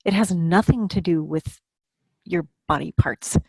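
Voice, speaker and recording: Earnest, lower-pitched woman chatting on a panel. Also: a little slow, gravelly, breathy edge, dry recording, low-quality recording. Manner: earnest, emphatic. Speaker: author, podcast panelist. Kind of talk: casual group discussion.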